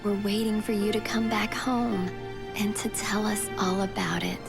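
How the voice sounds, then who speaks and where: An actress playing a gentle, patient mother and faithful wife in a video game drama, tender, measured and soothing. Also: medium pitch, flat delivery, gravelly, natural voice. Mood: tender, understanding. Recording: loud music behind the voice. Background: loud background music.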